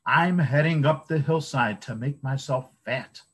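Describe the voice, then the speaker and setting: A man's voice: medium pitch, measured pace, slightly rough. A man voicing the second billy goat in a storybook reading, bleating and begging in a lightly raised voice. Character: middle-sized male billy goat, begging.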